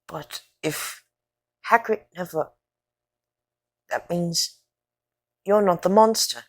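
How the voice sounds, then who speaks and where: A woman's voice: lowish voice, slow pace. A woman playing an earnest young boy wizard with an intense manner in an audio drama. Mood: earnest, questioning. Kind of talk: fan audio drama acting.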